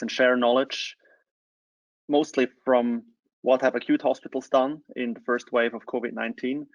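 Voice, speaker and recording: Man, mid-pitched, halting webinar presenter, close and dry on lo-fi call audio. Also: no echo. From Switzerland. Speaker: change management consultant, webinar presenter. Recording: dull, lo-fi online call audio.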